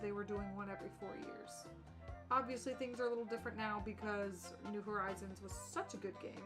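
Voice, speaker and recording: A woman chatting casually on a live game stream in an informal, rambling way. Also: mid-range voice. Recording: game music behind the voice. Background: video game music.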